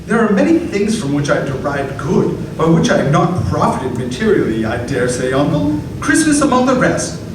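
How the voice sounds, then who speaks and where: A man playing a cheerful, warm-hearted young nephew in a live radio play, earnest and pleading. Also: fairly high pitch, sing-song, normal speed, heavy reverb, distant mic, background noise.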